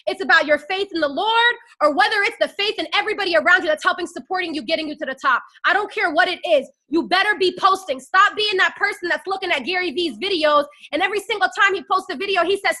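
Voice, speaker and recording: A woman's voice: very high voice, sharp tone. A woman in her twenties giving a fiery, preachy motivational pep talk into a phone and rallying her listeners with call-and-response. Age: 20s. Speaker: network marketing team leader.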